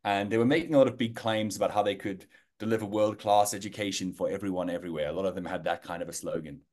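South African man, fairly low pitch, casual interview guest, hesitant with lots of ums. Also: steady tone, quick pace, darkish tone. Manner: hesitant, many fillers and restarts.

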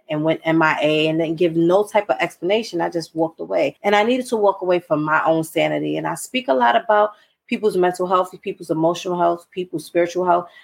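A woman's voice: lowish voice, gravelly, husky. A woman hosting her own podcast, nasal and congested from a cold, talking candidly and emphatically to her listeners. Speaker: podcast host. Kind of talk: solo podcast talk.

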